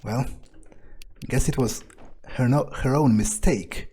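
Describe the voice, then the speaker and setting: A man playing a cold, smug vampire in a video game playthrough, musing aloud in a deliberate, sardonic way. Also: mid-range voice, dark tone, breathy edge. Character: cold, smug, ruthless male vampire on the prowl. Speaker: gamer role-playing in character. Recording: faint music behind the voice.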